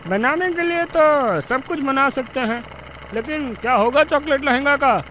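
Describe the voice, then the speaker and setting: A man's voice: very high voice, a little slow, bright, forceful tone, a little hiss or hum, exaggerated voice. A man playing a blunt, incredulous factory worker who scoffs and scolds in a dramatic story. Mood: sceptical.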